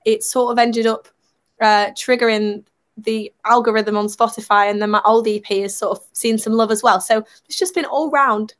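Slightly croaky young woman. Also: a little high, fairly even intonation, normal speed. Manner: bubbly, chatty, laughs at herself. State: slightly croaky from a sore throat. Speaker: singer-songwriter guest. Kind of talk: casual interview chat.

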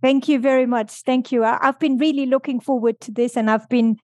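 A woman's voice: high-pitched, fairly even intonation, fairly quick, darkish tone, dry recording. Woman answering thoughtfully, dry sound. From Denmark. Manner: thoughtful, with frequent restarts and fillers. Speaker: podcast guest. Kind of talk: reflective interview answers.

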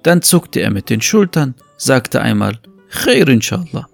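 A man's voice: mid-range voice, expressive, measured pace, gravelly. A man narrating a scripted fable as the storyteller of an audio story. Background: faint music.

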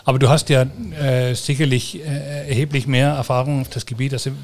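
Man, middle-aged, host, chatty, hesitant, ordinary pitch. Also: little pitch movement, brisk, darkish tone. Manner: chatty, hesitant, many fillers. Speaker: podcast host. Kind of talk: casual interview talk.

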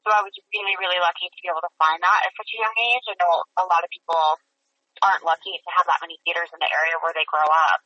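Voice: mid-range voice, steady tone, rapid-fire, no echo, far from the mic. Young actor from Massachusetts, over a call. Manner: earnest, chatty, frequent "um". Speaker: musical theater actor, interview guest. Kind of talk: casual interview answers. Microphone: phone or call line. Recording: lo-fi call audio.

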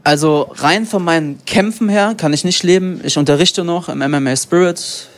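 A young man from Germany, an MMA fighter and guest, answering in a relaxed, rambling way with lots of fillers. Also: a little high, moderate pace, a little hiss or hum. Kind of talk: casual interview.

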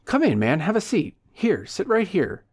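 A man's voice: high-pitched, wildly swooping pitch, moderate pace, darkish tone, slightly rough, voice pushed up, heavily acted. A man voicing a falsely jovial, pushy man in an audiobook, with exaggerated chummy enthusiasm. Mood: chummy, hospitable.

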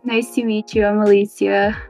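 A woman's voice: mid-range voice, level tone, normal speed, warm tone, faint music behind. A woman in her twenties from Los Angeles, a guest chatting on a call, giggly and full of "like" fillers. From Los Angeles, United States. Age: 20s. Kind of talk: casual interview chat.

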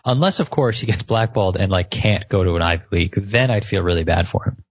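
A man in his late twenties co-hosting a casual chat, animated and often repeating words as he starts a thought. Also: low-pitched, fast talker, slightly rough, no room sound. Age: 20s. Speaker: podcast co-host. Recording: muffled lo-fi audio.

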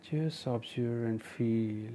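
Slow, mellow Indian man guiding meditation. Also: medium pitch, a little hiss or hum. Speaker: meditation teacher and podcast host. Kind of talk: guided meditation narration, spoken instructions.

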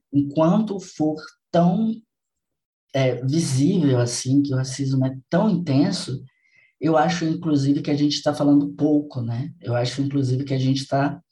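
Man, podcast host, earnest, reflective, mellow warm tone, mid pitch. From Bahia, Brazil. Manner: earnest, reflective, repeats for emphasis. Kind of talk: casual podcast conversation.

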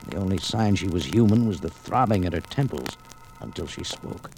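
An actor playing a tough, wry American cafe owner in a radio adventure, with clipped, sardonic, hard-boiled delivery. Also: male voice, low voice, animated, moderate pace, a little mellow, faint music behind. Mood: tense, hard-boiled. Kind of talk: 1940s radio noir acting with first-person narration. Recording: noisy old radio recording with clicks.

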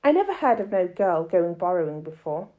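A woman voicing a steady, cautious working-class father in a storybook, gentle and measured, with homely ungrammatical speech. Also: fairly low pitch, muffled recording, pitched below the performer's own voice. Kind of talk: storybook read-aloud character voice.

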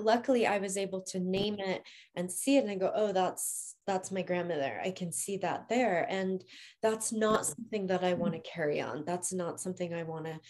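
A woman's voice: fairly low pitch, darkish tone. A woman in her forties hosting a reflective conversation, talking earnestly and thoughtfully and laughing often. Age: 40s. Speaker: host.

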